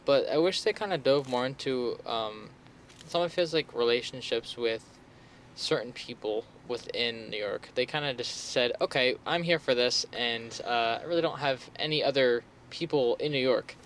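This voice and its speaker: A man's voice: medium pitch, normal speed, a bit bright, slight background noise. A man co-hosting a movie podcast, chatting casually with slang and the odd swear word. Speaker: podcast host.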